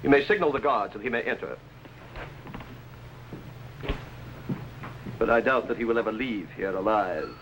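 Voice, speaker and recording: A man's voice: fairly low pitch, quick pace, lightly acted. An actor playing an arrogant, tyrannical medieval king with pompous, commanding delivery in an old television costume drama. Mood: cold, scheming. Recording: noisy 1950s television soundtrack.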